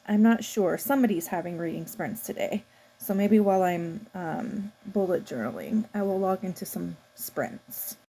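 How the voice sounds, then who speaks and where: Woman vlogger with a mellow voice. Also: mid-range voice, moderate pace, creaky, rough recording. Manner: chatty, informal, thinking aloud. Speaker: book vlogger. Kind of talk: casual vlog chat to camera.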